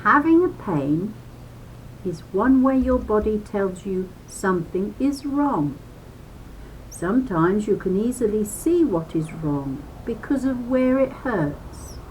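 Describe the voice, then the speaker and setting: Slow-paced woman reading to children, noisy recording. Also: medium pitch, animated, a little mellow. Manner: teacherly, emphatic, addresses listeners with questions. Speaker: reader of a children's book. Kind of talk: read-aloud children's book with chatty asides.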